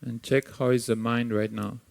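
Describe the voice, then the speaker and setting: A man with a Sri Lankan accent guiding a meditation, speaking calmly and gently with long pauses between phrases. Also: mid-range voice, gravelly, no room sound. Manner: slow, calm, gently instructive with long pauses. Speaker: meditation teacher. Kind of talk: guided meditation.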